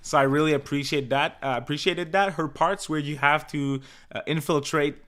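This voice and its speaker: A man's voice: a little high, moderate pace. A man with a French accent, co-hosting a casual podcast and rambling through his thoughts with restarts. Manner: relaxed, rambling with restarts and laughter. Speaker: podcast co-host. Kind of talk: casual chat.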